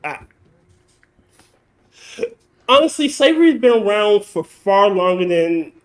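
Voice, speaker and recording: A man's voice: high voice, sing-song, slow. A man hosting a casual podcast chat, blunt and swearing freely as he explains his point at length. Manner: blunt, swears freely, lectures in long runs. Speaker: podcast host.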